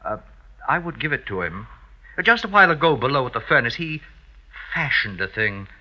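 A man's voice: mid-range voice, very animated, normal speed, pushed and bright, exaggerated voice. An actor playing a fawning, scheming male servant in a 1950s radio drama, obsequious, hesitant and theatrical. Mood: sly, conspiratorial. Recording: dull vintage radio recording.

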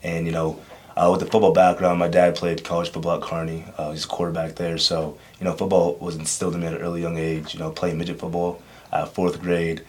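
A man's voice: sits low, monotone, fast, a little mellow. A young man and freshman football player answering earnestly, often saying "you know.". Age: young adult. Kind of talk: casual interview answers.

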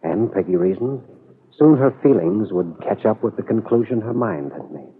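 A man with an American accent narrating a radio drama in a measured, dramatic storytelling manner, on a muffled vintage recording. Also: fairly low pitch, expressive, slight background noise.

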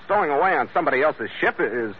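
A male actor playing a tough, wry ship's skipper in an old-time radio drama, gruff and hard-boiled. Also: on the high side, big pitch swings, fast. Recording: muffled, noisy old radio recording.

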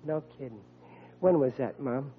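A man's voice: medium pitch, close to an everyday voice. Man playing a hapless young fellow, tinny radio sound. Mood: naive, eager. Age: young adult. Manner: naive, earnest, slow-witted. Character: hapless, earnest, dim-witted young would-be policeman. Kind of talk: old-time radio comedy acting. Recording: tinny vintage radio recording with hum.

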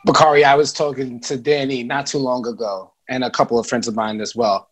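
A man co-hosting a podcast at a middle pitch. He talks conversationally and builds his questions slowly. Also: steady tone, a bit bright. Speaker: podcast co-host. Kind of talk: casual podcast chat.

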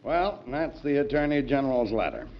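An actor playing a cautious, dry, skeptical American senator in 1940s radio drama style, with a wry, hesitant delivery. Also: male voice, mid-range voice, sing-song, moderate pace. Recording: dull old radio broadcast recording.

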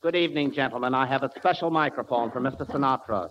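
A prim man performing as an officious delivery man in a 1940s radio comedy. He speaks curtly and formally, on a muffled recording with clear background noise. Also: medium pitch, quick pace, natural voice. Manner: curt, formal.

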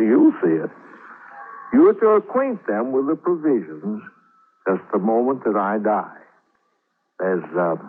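An actor playing a stern, wealthy older uncle, blunt and imperious, in a 1940s radio drama. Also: male voice, lowish voice, husky, phone-quality sound, full character voice. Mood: coolly scheming. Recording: thin band-limited old radio recording.

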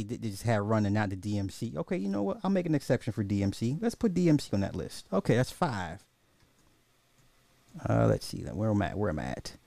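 A man's voice: medium pitch, vocal fry, dark tone, no echo. A man hosting a casual, opinionated commentary podcast in slangy, informal speech, thinking aloud and often repeating his own phrases. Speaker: podcast host.

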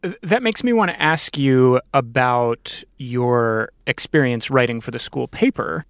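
A man hosting a podcast interview in a thoughtful conversational style, with wordy, deliberate and articulate phrasing. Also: medium pitch, creaky, clean tone, no room sound, clean studio sound.

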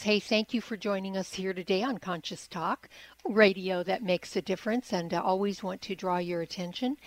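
A woman hosting a radio talk show, friendly and affirming, often backing her guest with quiet mm-hmms. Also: mid-range voice, no room sound, low-quality recording.

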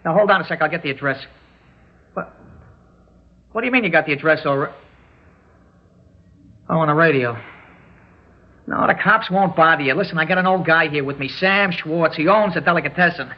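Man playing a high-voiced, jittery New gunman. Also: fairly quick, pauses often, close to an everyday voice. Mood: impatient, bossy. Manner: streetwise slangy talk, agitated. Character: jittery, tough-talking young male gunman holding a hostage. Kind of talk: 1960s radio drama acting. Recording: dull old radio broadcast.

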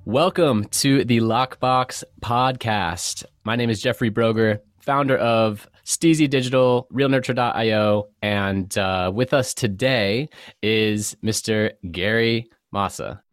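A man's voice: fairly low pitch, slow pace, no room sound, studio quality. A man from southern California hosting a podcast in a casual, conversational interview style. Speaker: podcast host.

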